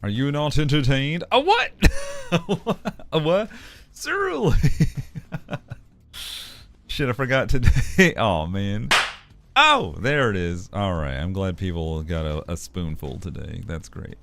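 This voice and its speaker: A man's voice: lowish voice, sing-song, slow, creaky, pauses often, a bit bright. A man chatting casually on a livestream, joking loosely and laughing often. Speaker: streamer.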